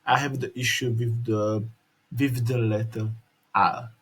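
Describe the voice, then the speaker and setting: Slovak man talking haltingly, slightly husky. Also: medium pitch, fairly even intonation, fairly bright tone. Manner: halting, repeats and restarts words, careful articulation. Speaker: video creator. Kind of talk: casual instructional vlog. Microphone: headphones with mic.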